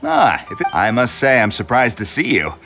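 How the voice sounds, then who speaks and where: A man's voice: mid-range voice, wildly swooping pitch, moderate pace, creaky. A man voicing a genial, wry middle-aged trade official in a video game, with a familiar, bantering delivery. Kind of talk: scripted video game dialogue. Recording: game music behind.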